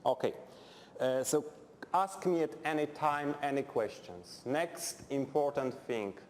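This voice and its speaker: A Polish man with a noticeable Polish accent lectures in English, his second language, in a blunt, dryly humorous way. His pitch sits at an ordinary middle level for a man. The sound carries the long reverb of a lecture hall over a faint background hum. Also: unhurried, darkish tone. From Poland. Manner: blunt, direct, dry humour, simple phrasing. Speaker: chemistry lecturer. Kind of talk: university lecture.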